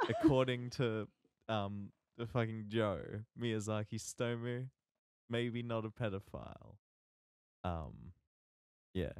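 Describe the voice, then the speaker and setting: A man with a fairly low voice, recorded close and dry with little room sound. He co-hosts a podcast in casual banter, with an informal, sarcastic edge. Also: takes it slowly, pauses often, a little mellow, clear, resonant tone, no room sound. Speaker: podcast co-host.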